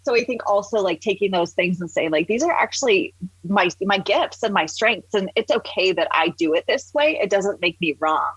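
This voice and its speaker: A woman's voice: mid-range voice, lively intonation, moderate pace, fairly bright tone, breathy edge, dry recording, poor audio. A woman talking conversationally as an interview guest, candid and emphatic, acting out remarks as she goes. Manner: candid, emphatic, acts out quoted remarks, swears casually. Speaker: podcast guest, empowerment coach.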